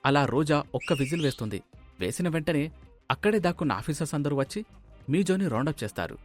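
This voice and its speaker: A man's voice: on the high side, animated, quick pace, faint music behind. A man reading scripted story narration as a storyteller.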